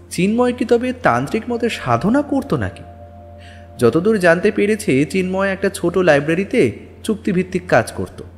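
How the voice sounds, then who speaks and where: A man narrating a scripted audio story in a dramatic storytelling style. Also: a little high. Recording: long reverberant tail on voice. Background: music.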